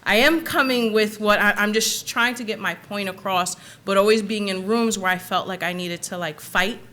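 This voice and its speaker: A woman's voice: mid-range voice, moderate pace, sharp tone, a little hiss or hum. A woman panelist answering an audience question in a candid, reflective way, laughing now and then.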